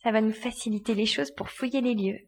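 Woman playing decisive young sleuth, high voice. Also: brisk. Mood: businesslike. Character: decisive, bossy young woman leading a secret search. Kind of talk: audio drama acting.

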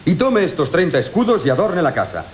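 High, animated jealous lover. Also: male voice, fairly quick. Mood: irritated. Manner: haughty, complaining. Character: hot-tempered, jealous, grudgingly generous male lover. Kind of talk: Spanish classical comic radio theatre acting. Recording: roomy, hissy 1980 radio broadcast.

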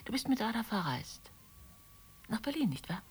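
An actress in a German radio play voicing a wistful, gently reproachful former lover, often breathy and near a whisper, speaking in a pensive, softly ironic way. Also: female voice, a little high, big pitch swings, moderate pace, full character voice. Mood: wistful recollection.

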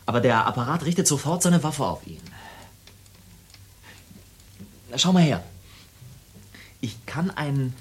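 An actor in a German radio play, playing a military radar operator who explains doomsday machinery in a casual, brooding, halting way. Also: male voice, mid-range voice, expressive, slightly rough. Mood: matter-of-fact.

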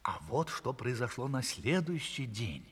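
A man narrating a dramatized fairy tale in a theatrical, drawn-out way, in a reverberant space. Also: medium pitch, very animated, husky. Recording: long reverberant hall.

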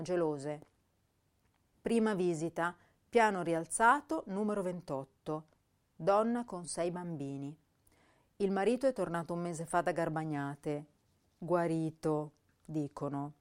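A woman playing a young, intense, melancholy female poet, reciting literary verse and prose in a measured, solemn way with pauses between phrases. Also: on the low side, darkish tone, lightly acted. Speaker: actress reciting poetry and prose.

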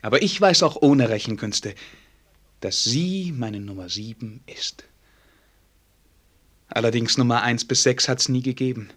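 An actor playing a melancholy, self-doubting young prince in a radio play, speaking formally and earnestly. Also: male voice, medium pitch, unhurried, pauses often, fairly bright tone. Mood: wistful, earnest. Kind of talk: German radio play acting.

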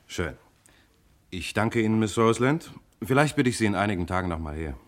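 An actor playing a composed police inspector in a German radio crime drama, questioning suspects with pointed insistence. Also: male voice, on the low side, expressive, fairly quick, natural voice. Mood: courteous, businesslike.

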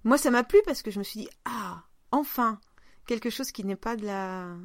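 A woman's voice: fairly high pitch, moderate pace, no room sound, studio quality. A woman co-hosting a podcast in casual chat, informal and often searching for her words. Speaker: podcast co-host.

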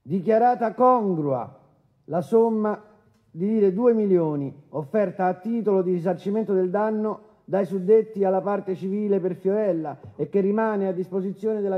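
Casting: actor as impassive male judge, high-pitched voice, official declamatory reading for courtroom drama. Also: lo-fi sound, lightly acted. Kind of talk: formal courtroom sentence reading.

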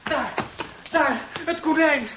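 A frightened, superstitious young servant in an old radio drama, with a very high, piercing voice. The speech is breathless and stammering, and the recording is dull and hissy. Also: slow, bright, forceful tone, full character voice. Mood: panicked. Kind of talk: 1970s Dutch radio drama acting.

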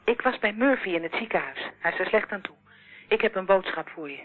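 A woman's voice: mid-range voice, expressive, brisk, lightly acted. An actress playing a blunt, impatient, agitated woman in a Dutch radio play. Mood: impatient, urgent. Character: brash, impatient woman with urgent news. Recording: tinny telephone-like old radio recording.

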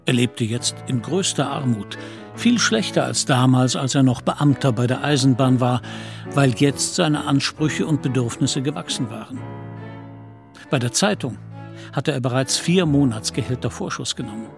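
Male radio drama narrator, medium pitch. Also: moderate pace, creaky, over music.

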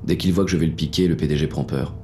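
An actor playing a menacing, weary police inspector who narrates a noir audio drama in a gritty, terse, deliberate way. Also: male voice, extremely low pitch, steady tone, dark tone, slight background noise. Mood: cool menace. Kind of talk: scripted first-person noir audio fiction narration.

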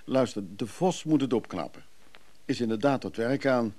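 An actor in a Dutch radio play voicing an evasive, soothing antiques buyer, speaking conversationally and a little hesitantly. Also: male voice, mid-range voice, normal speed, dark tone, lightly acted. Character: Freek, evasive, soothing middle-class antiques buyer and brother.

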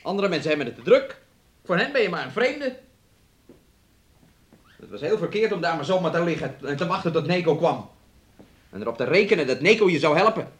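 A domineering man in a radio drama, with a fairly high voice that swings up and down widely. He nags, scolds and coaxes his lover, self-absorbed and speaking of himself in the third person. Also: very animated, a little fast, pauses often. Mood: reproachful, lecturing. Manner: talks of himself in the third person, nagging and coaxing. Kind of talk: Dutch radio play acting.

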